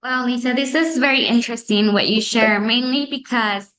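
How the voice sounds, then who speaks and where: A woman's voice: a little high, moderate pace, a bit bright. A woman hosting a podcast, asking interview questions with hesitant delivery and many fillers. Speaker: podcast host.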